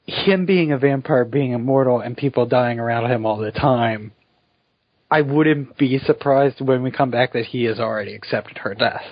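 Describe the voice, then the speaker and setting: A man's voice: mid-range voice, moderate pace, no echo. Man co-hosting a lo-fi podcast. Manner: thoughtful, leads the show's segments. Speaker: podcast co-host. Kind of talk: casual chat. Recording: dull lo-fi podcast audio.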